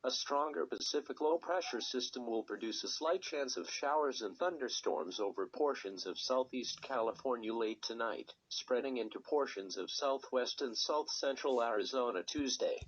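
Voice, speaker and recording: A synthetic text-to-speech male voice reading a scripted weather radio forecast in a measured, impersonal way. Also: medium pitch, breathy edge. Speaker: automated weather radio announcer. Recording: dull lo-fi weather radio recording.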